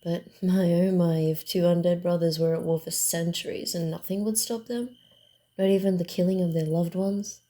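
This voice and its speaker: A woman voicing a sly, guarded, secretive female vampire in a hushed, theatrical and deliberate ASMR roleplay style. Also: fairly low pitch, fairly even intonation, close to an everyday voice. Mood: ominous storytelling.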